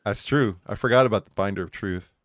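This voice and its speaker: A man with a lowish voice co-hosts a casual online talk show. He rambles and chuckles at his own jokes. The sound is dry and close. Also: fast, dry recording. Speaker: podcast co-host. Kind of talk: casual chat.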